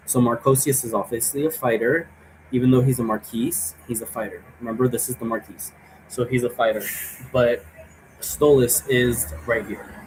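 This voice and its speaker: A man with a mid-pitched voice talks off the cuff, explaining things in a loose, rambling way. He drifts into asides as he goes. There is noticeable noise behind him in the room. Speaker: presenter explaining an occult role-play game. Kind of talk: casual unscripted explanatory talk. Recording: clearly noisy room recording.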